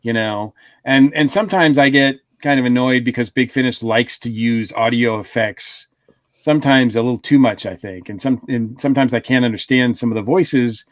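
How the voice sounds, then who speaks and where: A man's voice: medium pitch, moderate pace, dry recording. Rambling man on a dry close mic. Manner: rambling, with many fillers and restarts. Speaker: podcast co-host. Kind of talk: casual podcast review chat.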